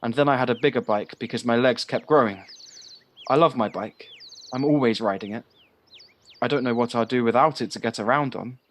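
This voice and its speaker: An actor playing a chatty, guileless young village lad in an audio play, talking in a candid, rambling way. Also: male voice, medium pitch, fairly quick, lightly acted. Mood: chatty, earnest.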